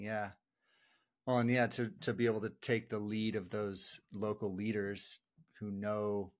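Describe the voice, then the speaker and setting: A man interviewing in a casual, reflective way, thinking aloud as he phrases his questions. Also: on the low side.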